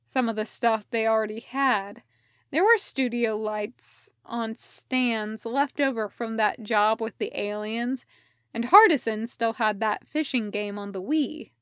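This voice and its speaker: A woman reading scripted fiction as a narrator, in a measured, deliberate storytelling manner. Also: a little high, dry recording, clean studio sound. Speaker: podfic reader.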